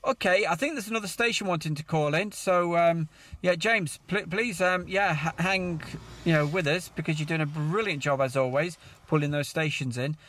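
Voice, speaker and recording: A man's voice: on the high side, normal speed, a bit bright. A man chatting casually as controller of a CB radio net, in a hesitant, chatty way. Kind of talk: casual amateur radio chat. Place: mobile radio setup.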